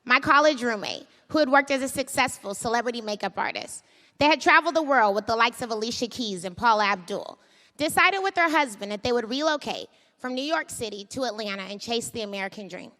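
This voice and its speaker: A woman in her thirties with a high-pitched voice, telling her personal story in an earnest, conversational way with frequent fillers. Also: lively intonation, a little fast, sharp tone. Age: 30s. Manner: earnest, conversational, many "you know" and "like" fillers. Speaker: startup founder.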